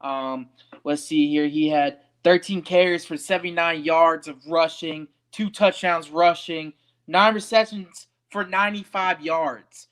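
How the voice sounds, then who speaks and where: A man's voice: high-pitched, sharp tone. A young man hosting a sports podcast alone, giving a casual post-game recap with frequent ums and uhs.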